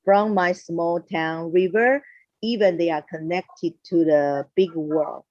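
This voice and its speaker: A woman's voice: fairly low pitch, takes it slowly, darkish tone, no echo. A woman from Taiwan, a primary school teacher, speaking hesitantly with many fillers in an online meeting. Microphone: video call. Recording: muffled dry video call audio.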